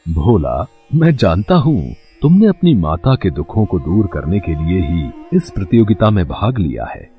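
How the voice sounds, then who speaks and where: Casting: male actor as a mighty benevolent god, deep and stately, sweeping intonation, music underneath. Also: big pitch swings, a little slow, hardly any pauses, phone-quality sound. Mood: solemn, benevolent. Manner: solemn, stately, formal blessing-giver. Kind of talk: devotional cartoon storytelling.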